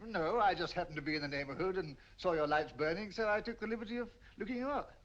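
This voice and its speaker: A man's voice: high-pitched, quick pace, fairly bright tone. An actor with a British accent playing an affable male collector, chuckling as he talks in 1940s film style. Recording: dull old film soundtrack.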